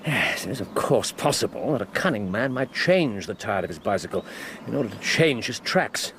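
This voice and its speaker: A man's voice: lowish voice, sing-song, normal speed, fairly bright tone, slight background noise. An actor playing a brilliant, self-assured English detective in British radio drama style, explaining his reasoning aloud and repeating himself. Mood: analytical, assured. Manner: didactic, thinks aloud, exclaims and repeats himself. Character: brilliant, brisk male detective, keenly absorbed and self-assured.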